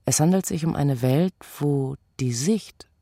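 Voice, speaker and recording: A contemplative, sleepless night creature in a radio play. The voice is husky and dark, hushed and deliberate, reciting poetry in a dry, close sound. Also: female voice, sits low, dry recording. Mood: contemplative, grave.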